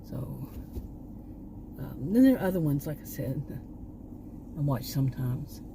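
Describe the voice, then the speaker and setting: Woman vlogger, lowish voice, losing her voice, rambling casual talk, noisy outdoor background. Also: big pitch swings, measured pace. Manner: meandering, trails off and restarts.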